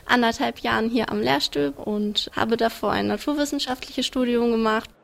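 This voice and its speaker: A woman in her twenties introducing herself calmly. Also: on the high side, normal speed, vocal fry. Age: 20s. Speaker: university staff member.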